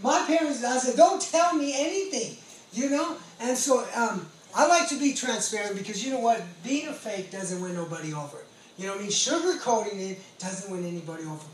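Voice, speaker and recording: A man's voice: extremely high pitch, animated, a little fast. American man preaching in an echoey space. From California, United States. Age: late 40s. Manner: earnest, emphatic, repeats phrases. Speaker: pastor and ex-convict preacher. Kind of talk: testimony sermon. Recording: roomy echo, distant mic, background noise.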